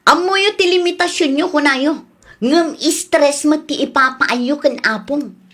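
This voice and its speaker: A woman's voice: high voice, a little slow, a bit bright. A woman playing a firm, reasoning daughter with an Ilocano accent. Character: level-headed grown daughter, firm peacemaker. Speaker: daughter back from abroad. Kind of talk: radio drama acting.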